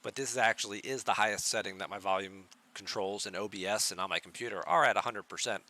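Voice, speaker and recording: A man chatting casually on a live game stream, answering viewers in a relaxed, soft-spoken way at a home computer mic. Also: fast. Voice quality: soft-spoken, low volume.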